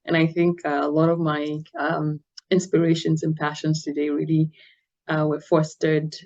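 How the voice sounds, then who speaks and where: A middle-aged woman from Nigeria, a guest talking about her life in an interview, speaking in a thoughtful, measured way with many fillers. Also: low-pitched, monotone, darkish tone, muffled recording. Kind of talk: interview conversation.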